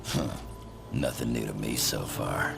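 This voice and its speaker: A man voicing a gruff, surly, sardonic superhero in a video game cutscene, with a very deep, gravelly growl. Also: measured pace, loud music behind the voice, exaggerated voice. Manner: blunt, sardonic, taunting. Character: gruff, surly, short tough-guy superhero.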